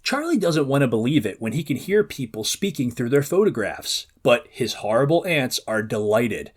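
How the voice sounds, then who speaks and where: A man's voice: medium pitch, very animated, normal speed, breathy edge. A man presenting a book preview for young readers, reading aloud expressively and drawing out words for dramatic emphasis. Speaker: host of a children's book preview show.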